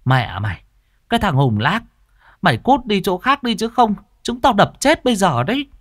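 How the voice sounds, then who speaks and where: A man's voice: sits high, sing-song, normal speed, no room sound, studio quality, voice pushed up. A man voicing an angry theatre troupe member in an audio story, scolding and threatening. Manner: aggressive, shouting threats.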